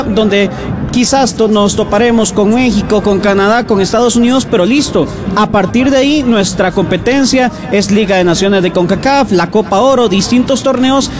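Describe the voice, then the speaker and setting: A man with a Costa Rican accent commentating on live sports radio, talking enthusiastically and directly to the listeners. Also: high voice.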